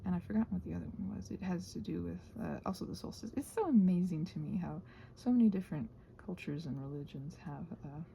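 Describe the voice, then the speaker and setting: A woman rambling casually as a video host, thinking aloud and trailing off, her voice husky and breathy and often sinking toward a whisper. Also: fairly low pitch, quick pace, few pauses, dark tone, slight background noise, rough recording. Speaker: video host and ASMR creator. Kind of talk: casual rambling craft chat.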